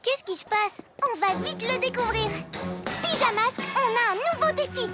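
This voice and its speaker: An actor voicing an eager, earnest and excitable young child superhero in a cartoon dub. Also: very high voice, moderate pace. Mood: excited, determined. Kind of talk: animated cartoon dub acting. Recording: loud cartoon music behind.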